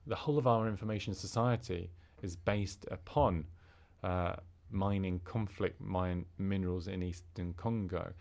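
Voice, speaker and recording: A man interpreting a formal speech into English, halting, with fillers and restarts. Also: sits low, steady tone, vocal fry, a little mellow.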